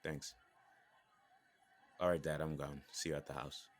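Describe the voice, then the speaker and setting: An actor playing a withdrawn young man who answers curtly and quietly. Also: rumbling low, level tone, a little slow. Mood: subdued. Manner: curt, subdued. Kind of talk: audio drama acting.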